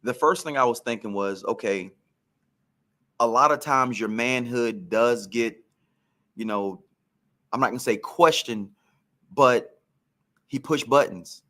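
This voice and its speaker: A man's voice: medium pitch, measured pace, pauses often, sharp tone. A man, a former pro football receiver, telling career stories on a podcast in a reflective, emphatic way.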